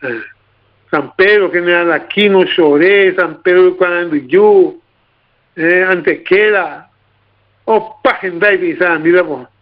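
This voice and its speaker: A man's voice: high voice, takes it slowly. A man with a Paraguayan accent hosting an informal radio talk show, repeating himself as he goes. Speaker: radio host. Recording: narrow, tinny radio broadcast sound.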